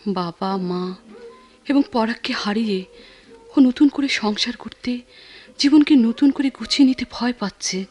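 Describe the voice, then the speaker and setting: A woman's voice: on the high side, a little slow, a little mellow, soft background music, natural voice. An actress playing an earnest young woman who pleads emotionally in a radio drama. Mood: pleading, sorrowful.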